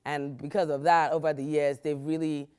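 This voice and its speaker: A woman in her thirties talking thoughtfully and in a measured way about herself. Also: sits low, level tone, darkish tone, smooth. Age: 30s. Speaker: interviewee, single woman dating. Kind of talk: casual interview talk.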